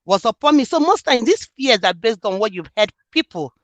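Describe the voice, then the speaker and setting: A woman's voice: on the high side, animated, fairly quick, no room sound. A woman from Nigeria with a Nigerian accent, speaking earnestly and reflectively in an interview about her book. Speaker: author and student. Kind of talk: interview conversation.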